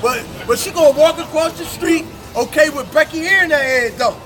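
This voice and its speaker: A man street preaching in a forceful, confrontational way, ending phrases with "man", outdoors on a city street. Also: very high-pitched, brisk. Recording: street crowd noise.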